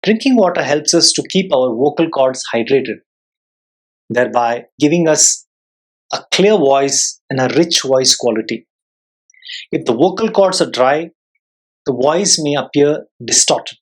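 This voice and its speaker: An instructor giving an explanatory lesson in a measured, formal, clearly enunciated way. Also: medium pitch, lively intonation, rough recording. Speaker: soft skills instructor and public speaker.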